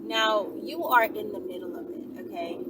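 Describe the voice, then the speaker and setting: A woman's voice: mid-range voice, moderate pace. A woman host talking casually to her viewers in an emphatic, sassy way, often checking in as she goes. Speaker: channel host answering viewer questions. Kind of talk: casual, animated monologue to viewers. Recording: noisy, distant-sounding mic.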